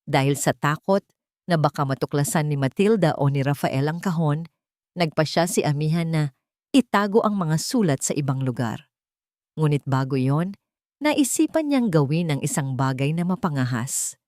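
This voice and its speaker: A woman's voice: low-pitched, lively intonation, normal speed, a little mellow, dry recording, clean studio sound. A woman with a Filipino accent reading a scripted, dramatic love story as narrator.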